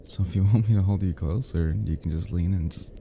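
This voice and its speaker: Deep, velvety man playing a tender male friend. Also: fairly quick, a little hiss or hum. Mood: tender, confessional. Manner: soft, murmuring, gently teasing, affectionate. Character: tender, flirty male best friend lulling listener to sleep. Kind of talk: intimate roleplay ASMR.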